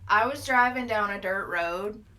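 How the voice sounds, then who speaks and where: A woman's voice: medium pitch, unhurried, pushed and bright. A woman casually telling her story as an eyewitness in an improvised comedy interview, insistent and matter-of-fact. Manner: insistent, matter-of-fact about absurd claims. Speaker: mock eyewitness being interviewed.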